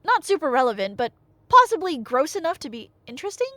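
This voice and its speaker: High, animated woman rambling nerdily. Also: quick pace, no echo. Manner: nerdy, slangy, animated, self-interrupting asides. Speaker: audio roleplay performer and storyteller. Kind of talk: casual, rambling comic myth retelling in audio roleplay.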